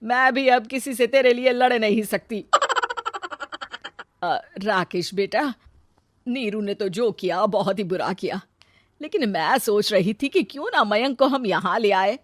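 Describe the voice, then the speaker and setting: A woman's voice: mid-range voice, unhurried, no echo, lightly acted. A woman voicing a protective, worldly middle-aged mother in a family story, motherly and emphatic. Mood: reproachful.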